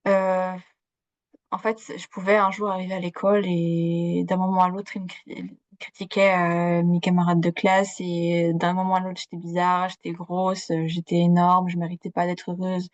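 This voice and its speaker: A woman's voice: on the low side, little pitch movement, moderate pace, no room sound, muffled recording. A young woman born in Switzerland, a coach guesting on a podcast, talking casually with lots of fillers. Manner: chatty, many fillers, laughs easily. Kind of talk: casual conversational interview.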